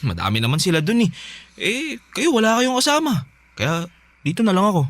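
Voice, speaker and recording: A man's voice: high voice, huge pitch swings, fairly quick, a bit bright, no echo, exaggerated voice. A man playing a devoted, insistent youngest son talking earnestly to his father in a Filipino radio drama. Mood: cheerful, insistent. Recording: music playing behind.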